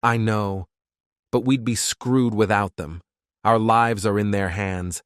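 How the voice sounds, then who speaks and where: Guy acting worn out anxious survivor, lowish voice, dry close sound, zombie audio comic. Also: fairly even intonation, takes it slowly, clear, resonant tone, no echo. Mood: weary unease. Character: anxious, weary, sickly male survivor.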